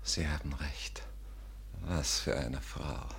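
Very deep, husky, gallant art dealer. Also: male voice, slow pace, a bit bright, whispered, heavily acted. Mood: courteous, hesitant. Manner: florid, suave, pompous. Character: gallant, flattering, vain Parisian art dealer, male. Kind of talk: 1950s German radio drama acting.

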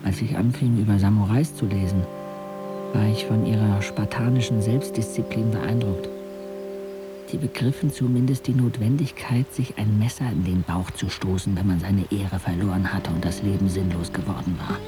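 A man's voice: on the low side, steady tone, moderate pace, music in the background, natural voice. An actor playing a brooding, disillusioned young man who narrates his life in an introspective, sardonic way for a radio drama. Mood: searching, disdainful. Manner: introspective, sardonic, literary phrasing.

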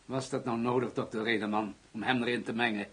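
An actor playing a stubborn, defensive police commissioner in a radio drama, formal and curt, with a husky voice. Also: male voice, medium pitch, steady tone, fast, a bit bright. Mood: stern, reproachful. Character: guarded, stubborn male police commissioner heading secret service. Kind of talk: Dutch radio drama acting.

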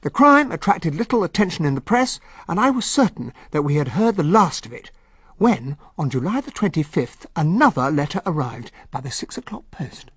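An actor playing an earnest, loyal, well-bred English gentleman who narrates and talks as a detective's sidekick in a radio drama. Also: a little high, very animated, moderate pace, breathy edge, dry recording, studio quality. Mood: reflective.

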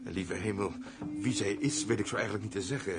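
An actor playing a fussy, obsequious castle steward in a radio drama, chatty and given to exclamations. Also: male voice, on the low side, brisk, very noisy, lightly acted. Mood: servile, chatty.

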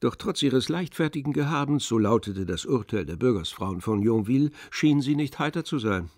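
A man's voice: mid-range voice, animated, normal speed, a little mellow, no room sound. A man reading scripted literary narration for a radio drama in a studio. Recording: dry studio, music underneath.

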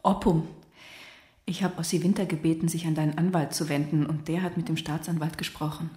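An actress playing an affectionate, loyal visitor in her thirties in a radio play, soft, conversational and gently teasing. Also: low-pitched, level tone, brisk, roomy sound, natural voice. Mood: warm, wistful. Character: affectionate pregnant woman historian, loyal visitor. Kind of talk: naturalistic German radio play acting.

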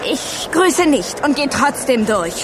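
A woman acting a stubborn, outspoken teenage schoolgirl in a German radio play, challenging and sharp in her retorts. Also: high voice, big pitch swings, slow pace, background noise, heavily acted. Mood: defiant.

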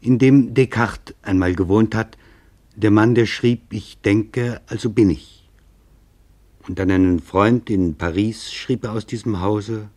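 A man narrating a scripted literary documentary in a grave, measured way with deliberate pauses. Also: lowish voice, darkish tone.

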